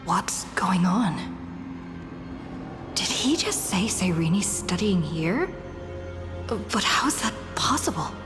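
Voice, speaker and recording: A woman's voice: fairly low pitch, expressive, slow pace, pauses often, big-room sound, music in the background. A woman voicing a pragmatic young adventurer in a video game, musing to herself in plain words. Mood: puzzled. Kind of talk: video game inner monologue.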